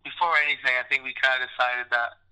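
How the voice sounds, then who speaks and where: A man's voice: medium pitch, flat delivery, fast, pushed and bright, clean tone, rough recording. A man in a band chatting casually in a phone interview, rambling and repeating himself. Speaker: band musician being interviewed. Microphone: telephone.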